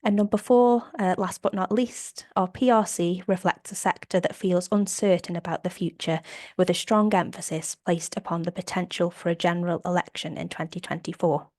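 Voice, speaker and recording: A woman with a slight cold, sounding a bit croaky, presenting survey findings on a video call in an upbeat, methodical way. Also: fairly low pitch, steady tone, moderate pace, darkish tone, no echo, studio quality. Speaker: operations manager presenting survey data. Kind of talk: webinar slide presentation.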